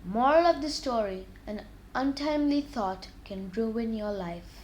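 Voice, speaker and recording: High-voiced woman reading children's stories. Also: animated, unhurried, a little mellow, a little hiss or hum. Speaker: children's story reader. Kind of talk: read-aloud scripted storytelling with morals.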